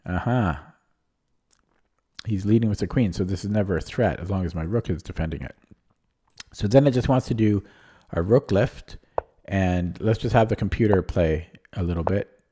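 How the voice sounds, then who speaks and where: A man's voice: deep voice, moderate pace, pauses often, mellow, slightly rough. A man streaming chess who thinks aloud in a casual, hesitant way, his voice a little strained from talking nonstop. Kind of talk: casual live-stream commentary.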